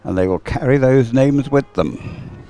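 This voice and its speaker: A man's voice: mid-range voice, unhurried, dark tone. A man giving unscripted live race commentary in an understated way, thinking aloud as he goes. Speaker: sports commentator.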